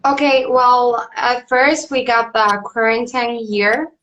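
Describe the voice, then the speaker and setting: A woman's voice: fairly high pitch, slow, a bit bright, dull audio. Bubbly young woman. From Bolivia. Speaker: pageant contestant. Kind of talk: casual video call chat.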